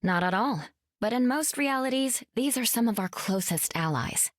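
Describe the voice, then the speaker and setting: A woman playing a responsible, no-nonsense big-sister heroine in a video game, brisk and firm. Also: a little high, lively intonation, dry recording, clean studio sound, natural voice.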